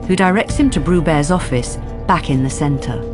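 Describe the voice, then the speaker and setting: A woman's voice: sits low, moderate pace. A woman narrator reading scripted radio drama narration, with music behind her.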